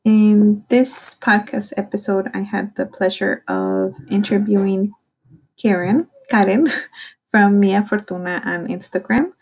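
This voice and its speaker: A woman's voice: medium pitch, gravelly, darkish tone, rough recording. A woman hosting a podcast, introducing and interviewing in a casual, somewhat hesitant conversational way.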